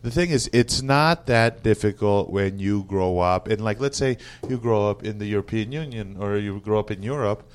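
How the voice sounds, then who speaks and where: A man's voice: lowish voice, normal speed, hardly any pauses, clean tone. Comedian guy on a podcast, laughs a lot, punchy and emphatic. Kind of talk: casual podcast chat.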